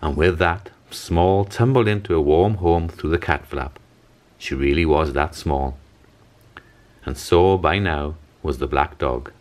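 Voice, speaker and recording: A man reading a picture book aloud in an animated, dramatic storytelling manner. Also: very deep voice, a little slow. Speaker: storybook reader. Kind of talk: expressive picture-book narration.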